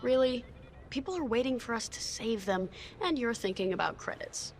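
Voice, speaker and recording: A voice actor playing a calm, intuitive starfighter pilot from a Force-sensitive world, earnest and reserved in manner. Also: mid-range voice, moderate pace, close to an everyday voice. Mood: serious. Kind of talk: video game voice acting. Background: loud orchestral score.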